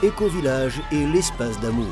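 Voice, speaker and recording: A man of medium pitch delivering a scripted promotional voice-over. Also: expressive, normal speed, music in the background. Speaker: promotional announcer.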